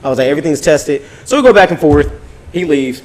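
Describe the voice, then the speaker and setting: A man telling a story in an animated, casual way, swearing freely in slangy speech and acting out both sides of arguments. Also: on the high side, fast, low-quality recording. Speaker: podcast host recapping his weekend.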